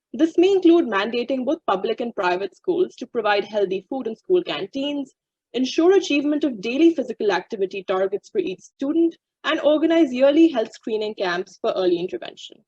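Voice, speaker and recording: A woman's voice: fairly high pitch, a little mellow, breathy edge, no echo, dull audio. A young woman in her twenties, a medical student, carefully reading a prepared statement.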